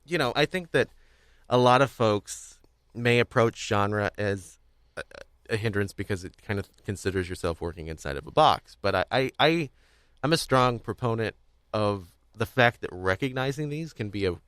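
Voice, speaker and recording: A man with a fairly low voice hosts a casual podcast interview. He rambles and halts, doubling back on words as he works out a thought. The recording is very dry, with no room sound. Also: expressive, fairly bright tone, clean tone, no echo. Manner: rambling, halting, repeats words mid-thought. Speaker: podcast host. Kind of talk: casual interview chat about audio drama.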